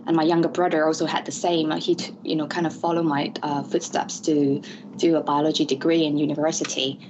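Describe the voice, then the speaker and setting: A woman from Malaysia in her thirties, a guest in an interview, who talks in a halting way with many fillers and restarts. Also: sits low, little pitch movement, noisy background. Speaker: interview guest, ADHD advocate. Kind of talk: conversational interview. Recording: dull lo-fi audio.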